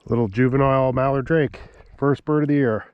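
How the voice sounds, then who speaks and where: A man's voice: medium pitch, steady tone, fairly quick, clear, resonant tone, dry recording, lo-fi sound. A man from Nova Scotia, stuffed up from a cold, chatting casually to the camera outdoors at a tidal marsh and often trailing off with "so" and "um". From Nova Scotia, Canada. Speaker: hunting vlogger, channel host. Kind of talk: casual outdoor vlog narration.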